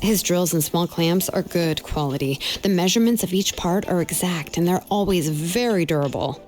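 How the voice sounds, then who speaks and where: A woman's voice: on the low side, normal speed, darkish tone, natural voice. A woman playing a confident, friendly, helpful young woman in a video game. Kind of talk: English video game voice acting. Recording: faint game music behind.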